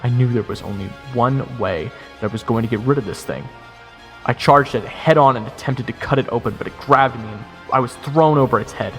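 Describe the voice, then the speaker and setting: A man narrates a fantasy audio story in the first person, as its hero. His medium-pitched voice delivers scripted, dramatic narration. It carries a long reverb, with music clearly playing underneath. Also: a little fast, fairly bright tone.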